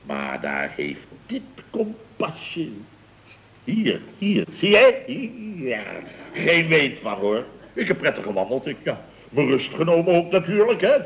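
A man's voice: mid-range voice, low-quality recording. A man playing a blustering, indignant boss of a building firm in a radio comedy, with pompous, theatrical storytelling. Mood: smug. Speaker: lead actor in radio comedy serial. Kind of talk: 1970s Dutch radio comedy acting. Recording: vintage radio broadcast recording.

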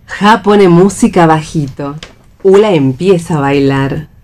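A woman's voice: sits low, unhurried, a little mellow. A woman with an Argentine accent reading stage directions as a scripted narrator.

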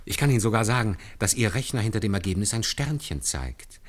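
A man's voice: fairly low pitch, very animated, brisk. An actor playing an earnest, deferential man who patiently explains hand arithmetic step by step in a German radio play. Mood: eager, explanatory. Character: earnest, deferential male calculator demonstrating hand arithmetic to superiors.

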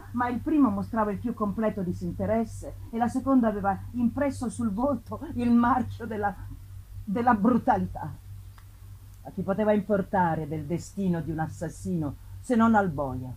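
A woman narrating a gothic novel in a deliberate, theatrical way, with emphatic swells and drops. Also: mid-range voice, mellow, breathy edge, poor audio. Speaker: narrator, reader. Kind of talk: dramatic reading of a gothic novel. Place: live festival performance. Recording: live reading with faint ambient noise.

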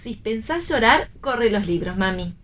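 A young pregnant Argentine daughter in a radio play. Her voice is high and swings freely up and down. She is playful, brisk and plain-spoken, with theatrical delivery. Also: expressive, a bit bright.

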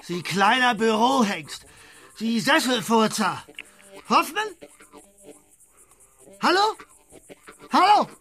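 Pompous aging Western Swing host, lively pitch, theatrical outbursts, radio drama. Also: male voice, slow pace, pauses often, heavily acted. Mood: outraged. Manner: bossy, theatrical, bursts into outraged shouting. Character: vain, hot-tempered aging male country radio star. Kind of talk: radio play acting.

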